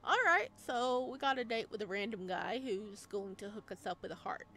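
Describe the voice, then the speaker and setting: A woman's voice: mid-range voice, normal speed, fairly bright tone, faint music behind. A woman hosting a gaming video, reading a visual novel aloud and adding casual, slangy asides. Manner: informal, slangy, reacts in asides.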